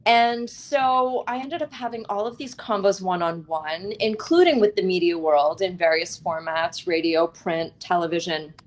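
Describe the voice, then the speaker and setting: A woman author speaking as an interview guest, telling her story in an expressive, articulate and reflective way. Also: lowish voice, normal speed, hardly any pauses.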